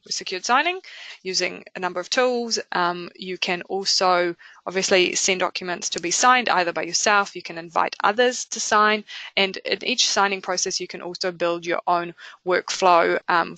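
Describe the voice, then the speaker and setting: A woman with a New Zealand accent presenting a product webinar in a conversational way, often pausing with fillers. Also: lowish voice, vocal fry, bright, forceful tone, no room sound, rough recording. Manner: conversational, with frequent filler pauses.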